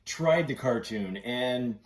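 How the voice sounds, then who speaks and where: A man's voice: medium pitch, lively intonation, slow. Male podcast host, middle-aged, echoey room. Informal, eager, chatty fan delivery. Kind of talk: casual chat. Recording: roomy, echoey sound.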